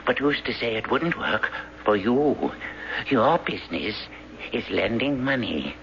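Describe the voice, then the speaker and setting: Theatrical, agitated eccentric, swooping pitch. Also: male voice, big pitch swings, vocal fry. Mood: persuasive, mysterious. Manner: theatrical, halting, insistent. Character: hunted, eccentric Englishman, agitated yet slyly persuasive. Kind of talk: 1970s radio drama acting. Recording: dull, noisy old radio broadcast.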